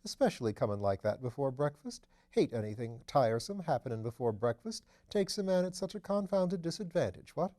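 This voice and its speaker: A man voicing a genial aristocratic gentleman detective in an audiobook, affable, with clipped upper-class phrasing. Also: medium pitch, very animated, a little fast, hardly any pauses, voice pushed up, natural voice. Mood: sympathetic. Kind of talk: audiobook character voice.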